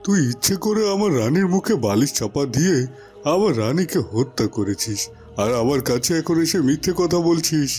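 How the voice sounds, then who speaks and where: Dark-toned, wary king played by a man. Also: on the high side, lively intonation, normal speed, soft background music, natural voice. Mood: guarded, accusing. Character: sorrowful, wary king hiding his suspicions. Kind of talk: dramatized cartoon story dialogue.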